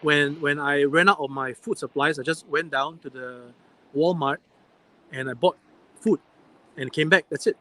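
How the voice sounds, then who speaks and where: A man's voice: on the high side, steady tone, moderate pace, long pauses, sharp tone, low-quality recording. A man hosting a casual live stream chat, asking probing questions in an inquisitive, chatty way. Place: airport waiting area at night.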